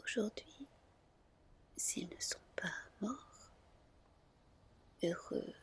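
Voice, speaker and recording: A woman reading a fairy tale as ASMR in a gentle whisper, with hushed, deliberate phrasing. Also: mid-range voice, expressive. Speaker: ASMR storyteller.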